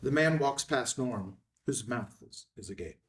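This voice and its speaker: A man's voice: mid-range voice, animated, measured pace, far from the mic. A man reading scene headings and stage directions in a clipped, scripted narration at a table read.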